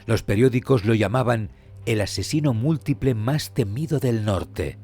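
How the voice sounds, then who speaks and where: A man delivering scripted true crime narration in a solemn, measured, suspenseful manner. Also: medium pitch, darkish tone. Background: music.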